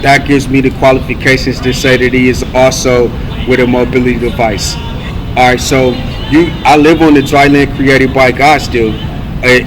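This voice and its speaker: American man, flat halting monologue, noisy recording. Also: medium pitch. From United States (California). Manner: halting, repetitive, earnest declarations. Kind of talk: rambling personal monologue.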